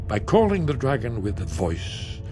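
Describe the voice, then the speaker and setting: An actor playing a scholarly older archivist of a warrior order in a fantasy game, deliberate and expository. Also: male voice, medium pitch, wildly swooping pitch, dark tone. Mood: eager, explaining. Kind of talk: fantasy video game voice acting. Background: music.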